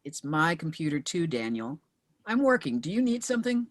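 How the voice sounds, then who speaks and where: Lady reading a brisk widowed mom detective, clipped and dry, pitch jumps around, table read. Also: very animated. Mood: dry, weary. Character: brisk, evasive widowed mother turned private investigator.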